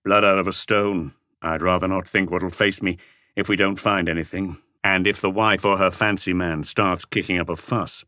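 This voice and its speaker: A man voicing a calm, dogged police chief inspector in an audiobook, measured and laconic. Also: low voice, dry recording, clean studio sound. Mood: brisk, grumbling. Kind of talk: audiobook character voice.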